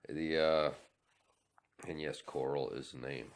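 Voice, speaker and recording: Very deep-voiced man, blunt podcast co-host. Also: steady tone, unhurried. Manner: blunt, swears casually. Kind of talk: casual opinionated conversation.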